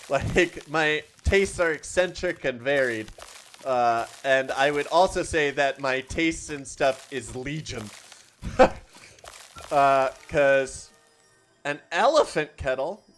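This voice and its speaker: A man's voice: on the high side, expressive, takes it slowly, fairly bright tone, slight background noise. A young man chatting casually on his stream, rambling and self-deprecating with frequent laughs. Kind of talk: casual chat while gaming.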